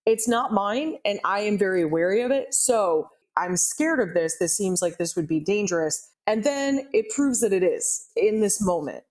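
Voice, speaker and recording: A woman's voice: medium pitch, expressive, normal speed, few pauses, a little mellow. A woman co-hosting a podcast in casual chat, earnestly explaining her views and clarifying herself. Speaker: podcast co-host.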